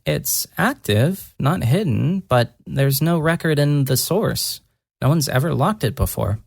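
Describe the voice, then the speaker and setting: A narrator voicing a gentle young woman mage who murmurs softly and deliberately, earnest and lightly teasing, in a fantasy audio story. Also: medium pitch, lively intonation, vocal fry, no room sound. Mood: earnest, explaining.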